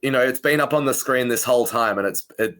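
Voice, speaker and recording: A man's voice: mid-range voice, fast talker, fairly bright tone. Chatty man hosting with rambling enthusiasm. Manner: enthusiastic, rambling, many "like" fillers. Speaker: interview host. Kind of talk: casual interview chat.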